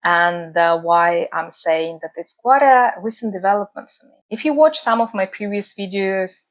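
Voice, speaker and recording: A woman's voice: mid-range voice, animated, lo-fi sound. A woman, speaking earnestly and thoughtfully to her book channel's viewers. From Russia. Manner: earnest and measured, with frequent "uh" pauses. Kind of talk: reflective talk to camera. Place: home in the UK.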